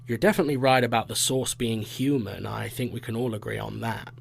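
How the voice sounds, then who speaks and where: A man acts out a questioning, business-minded boss in an audio drama. His voice is very creaky, over clear noise and hum. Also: medium pitch, fairly quick. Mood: questioning, businesslike. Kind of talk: audio fiction acting.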